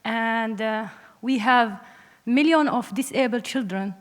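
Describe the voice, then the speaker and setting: A young woman with a Syrian Arabic accent speaks in a fairly high voice. Her English is halting and earnest, and the hall rings with long reverb around her. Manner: halting non-native English. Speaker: audience member, film student. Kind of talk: earnest question from the floor. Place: large panel hall, public address microphone.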